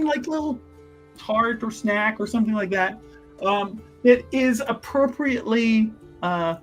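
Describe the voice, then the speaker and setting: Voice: medium pitch, fairly bright tone. A person improvising in character at a tabletop game, hesitant and wheedling, often repeating phrases. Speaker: tabletop roleplaying performer.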